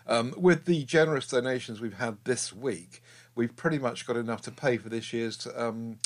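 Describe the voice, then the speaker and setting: A man's voice: mid-range voice, moderate pace. A middle-aged man co-hosting a podcast, chatty and jokey with frequent ums. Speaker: podcast co-host. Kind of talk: casual chat.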